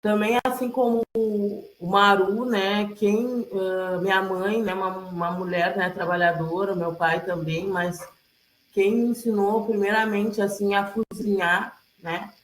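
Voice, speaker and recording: A woman speaks casually, like someone telling stories aloud. She goes slowly and haltingly, often checking in with listeners, in a roomy, echoey video-call sound. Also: mid-range voice, fairly even intonation, slight background noise. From Rio Grande do Sul, Brazil. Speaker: community elder and presenter at a cultural gathering. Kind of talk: casual oral storytelling. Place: online video call, roomy indoor space.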